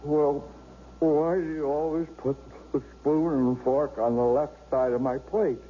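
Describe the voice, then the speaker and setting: A man acting a husband who is regaining the use of his arm in a 1970s radio drama, talking haltingly and stammering. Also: on the high side, fairly even intonation, close to an everyday voice. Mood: irritated. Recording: dull vintage radio recording with faint hiss.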